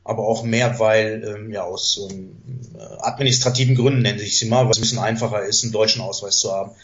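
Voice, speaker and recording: A man's voice: fairly low pitch, steady tone, fast. A man in his fifties, born and raised in Germany with Greek as his mother tongue, telling his life story as a podcast guest in a rambling way, full of hesitations and self-corrections. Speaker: podcast guest, chess master. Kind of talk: casual conversational storytelling. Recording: dull lo-fi podcast audio.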